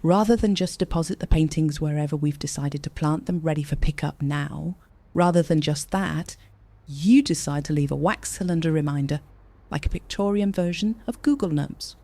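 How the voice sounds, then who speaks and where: A sharp-tongued woman investigator in an audio drama. Her tone is soft-edged and mellow, in a very dry recording. She teases and needles with sweary sarcasm. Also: deep voice, moderate pace, few pauses, no echo. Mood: sarcastic. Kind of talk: naturalistic audio drama acting.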